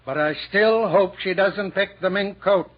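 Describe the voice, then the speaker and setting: An American man playing a gruff, sarcastic, tight-fisted store boss in 1940s radio acting style. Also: high voice, unhurried. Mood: grudging, skeptical. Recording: dull 1940s radio recording.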